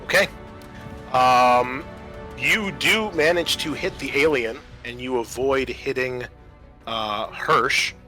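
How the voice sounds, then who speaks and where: A male game master with a bright, forward voice talks players through a tabletop roleplaying game. His delivery is conversational, with music playing behind him. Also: medium pitch, slow.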